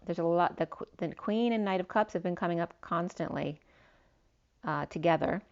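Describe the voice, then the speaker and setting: Hoarse-edged woman reading tarot cards. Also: on the low side, moderate pace, darkish tone. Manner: meandering, listing cards with fillers. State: losing her voice a little. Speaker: tarot reader. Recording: dull lo-fi sound.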